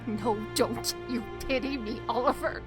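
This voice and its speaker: A woman's voice: a little high, fairly even intonation, measured pace, over music, close to an everyday voice. A woman playing a guilt-ridden, defiant young rich heiress in an audio drama, intense and emotional. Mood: angry, hurt.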